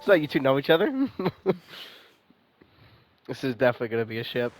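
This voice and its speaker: A man's voice: mid-range voice, sing-song, fairly quick. A man giving casual, informal live reactions as he plays a video game. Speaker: gaming video host. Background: game music.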